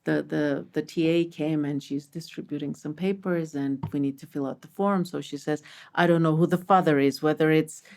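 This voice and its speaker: A woman's voice: deep voice, moderate pace, vocal fry. A middle-aged woman from Armenia, a repat parent, chatting casually in a panel and telling stories with many restarts. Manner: animated storytelling with many restarts.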